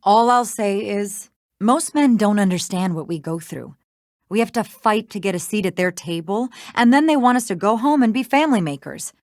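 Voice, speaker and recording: A woman's voice: mid-range voice, expressive, moderate pace, darkish tone, no echo, natural voice. An actress plays a confident small-town woman lawyer in a video game. Her mid-range voice is recorded close and dry. She talks with wry self-assurance and a playful, teasing edge. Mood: earnest. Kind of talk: scripted video game dialogue.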